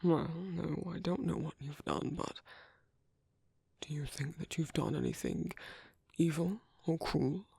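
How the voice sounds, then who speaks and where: A very close, dry ASMR recording of a tender British vampire priest, acted by a man. His voice is extremely breathy and frayed with creak, often sinking to a whisper. He speaks slowly and carefully, with old-fashioned courtesy and a fatherly gentleness. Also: a little high, dry recording, heavily acted. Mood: grave, tender. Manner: gentle, hesitant, formal and courteous. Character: soft-spoken, caring British vampire priest, paternal and formal. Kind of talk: soft ASMR roleplay, intimate and slow. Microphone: close microphone, dry.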